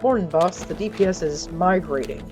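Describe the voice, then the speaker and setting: A woman voicing a hapless, awkward male mail carrier in an audio story, halting and clipped. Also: on the low side, music in the background, close to an everyday voice.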